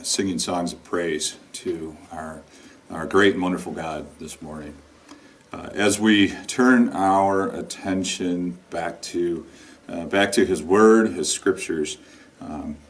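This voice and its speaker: A middle-aged man with a deep voice speaks as a pastor in informal sermon and prayer. His delivery is very slow, halting and deliberate. The microphone sits some way off in the room. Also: distant mic. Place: indoor lounge with couch and brick wall.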